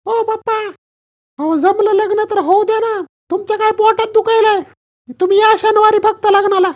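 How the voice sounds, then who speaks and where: An actor playing a whiny, insolent young village son desperate to marry in a comedy cartoon. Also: male voice, extremely high pitch, fairly even intonation, normal speed. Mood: pleading, annoyed. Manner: complaining, insolent. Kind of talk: exaggerated comedy cartoon dialogue.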